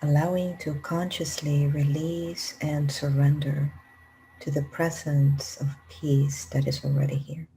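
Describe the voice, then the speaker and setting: A woman leading a guided meditation in a calm, gentle, unhurried manner with long pauses. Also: low-pitched, creaky, a little hiss or hum, rough recording. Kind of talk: guided meditation, slow spoken instructions.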